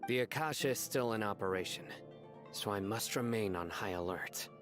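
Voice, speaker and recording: An actor playing a stern, terse law enforcer in a video game, speaking in a serious, guarded, clipped way. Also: male voice, lowish voice, moderate pace, music in the background, lightly acted. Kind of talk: scripted video game dialogue.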